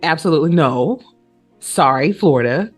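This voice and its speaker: A woman hosting a casual chat show, talkative, informal and expressive. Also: low-pitched, moderate pace, husky. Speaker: show host. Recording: background music under the voice.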